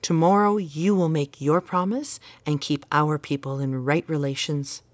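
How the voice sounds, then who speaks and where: A low-voiced, insistent mother. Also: fairly even intonation, measured pace, no room sound. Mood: earnest, reassuring. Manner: insistent, instructive, earnest. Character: earnest, exacting mother devoted to tradition. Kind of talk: naturalistic audio drama dialogue.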